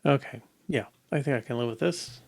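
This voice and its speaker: A man's voice: mid-range voice, fairly quick, vocal fry, a little mellow. An older man codes live at his desk, murmuring to himself and sometimes sinking into a near whisper. His voice sounds slightly strained. The sound is close and dry, with no room echo. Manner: muttering half-whispered asides while coding. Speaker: live-coding streamer. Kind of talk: casual live coding commentary. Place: small home office, indoors.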